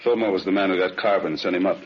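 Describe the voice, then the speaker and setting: A man's voice: deep voice, steady tone, racing, close to an everyday voice. A man playing an authoritative chief of detectives in an old radio drama, briefing his men in terse sentences. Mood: terse, commanding. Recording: dull, faintly noisy old radio recording.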